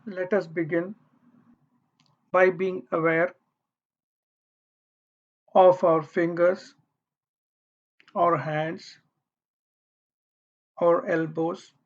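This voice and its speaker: A meditation guide speaking gently and deliberately, leaving long pauses between short phrases. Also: medium pitch, level tone. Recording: dull, muffled lo-fi audio.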